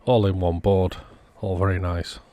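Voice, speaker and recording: A man with a fairly low voice narrates in a casual way, like a technician walking viewers through bench work. His delivery is informal and explanatory. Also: little pitch movement, normal speed, dark tone, no echo. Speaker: video host, radio repair technician. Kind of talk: casual narrated repair commentary.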